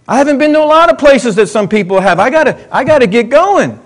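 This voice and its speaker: High-voiced man preaching conversationally. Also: fast. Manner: conversational, swinging between excited highs and muttered asides. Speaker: pastor. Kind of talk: sermon.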